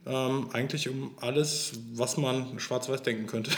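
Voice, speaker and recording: Man, thirties, medium pitch, laid-back co-host, laughs easily, rambling. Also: fairly even intonation, unhurried, fairly bright tone, roomy sound, soft background music. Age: 30s. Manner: laughing easily, rambling, restarts mid-sentence. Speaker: sexton and podcast co-host. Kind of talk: casual chat.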